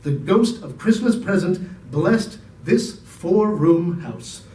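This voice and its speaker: A man reading a story aloud as dramatic literary narration, with theatrical, deliberate phrasing. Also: high voice, lively intonation, warm tone. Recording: echoey room, distant microphone.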